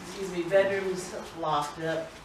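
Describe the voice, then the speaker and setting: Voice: mid-range voice, fairly even intonation, a little slow, background noise. Distant, echoey homeowner voice. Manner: rambling, hesitant. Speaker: homeowner selling contents.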